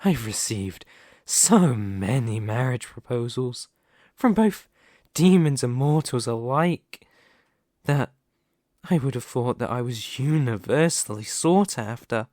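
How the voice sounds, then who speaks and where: A man playing a dominant, vain and possessive demon lord in an ASMR roleplay, speaking formally and theatrically with deliberate dramatic pauses. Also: medium pitch, expressive, darkish tone, dry recording, clean studio sound. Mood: brooding, probing. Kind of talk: ASMR roleplay character monologue.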